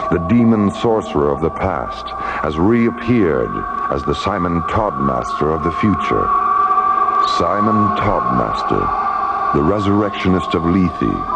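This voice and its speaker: A man's voice: low voice, gravelly. A man giving dramatic scripted radio narration in a solemn, portentous manner. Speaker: narrator and announcer. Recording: muffled vintage radio, loud music behind.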